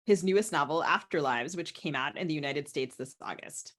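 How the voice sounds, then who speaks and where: Woman podcast host, low-pitched voice. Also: brisk, fairly bright tone. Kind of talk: conversational literary interview.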